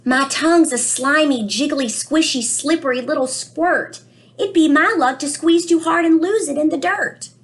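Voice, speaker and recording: A woman's voice: high voice, moderate pace, pitched above the performer's own voice, lightly acted. Woman reading as an anxious little storybook boy. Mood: fretful, indignant. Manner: worried, singsong rhyming delivery. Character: anxious, literal-minded little boy telling his storybook tale.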